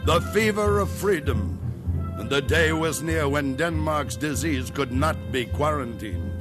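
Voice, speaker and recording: A man's voice: a little high, animated, measured pace. A man playing a folk-tale narrator, telling of a slave revolt with incantatory repetition in 1950s radio drama style. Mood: portentous. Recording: 1950s radio broadcast with loud music. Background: loud music.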